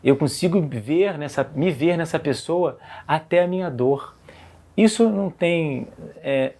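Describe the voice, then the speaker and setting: A man's voice: on the high side, a little slow, darkish tone. A man with a Brazilian accent hosting an informal educational video as a psychologist, talking conversationally and directly to viewers. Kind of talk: informal talk to camera about emotions.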